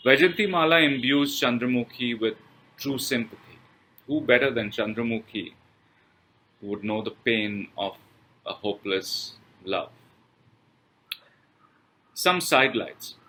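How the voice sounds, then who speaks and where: An Indian-accented man reads a scripted film review over faint background noise. His voice is bright and sharp-edged, sitting somewhat low. He delivers it deliberately, in short phrases with pauses. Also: rough recording.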